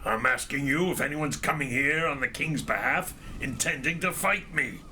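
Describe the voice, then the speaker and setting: An actor playing a fearsome, belligerent knight in an audio drama, taunting and challenging. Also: male voice, medium pitch, moderate pace, bright, forceful tone, slight background noise. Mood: taunting, threatening.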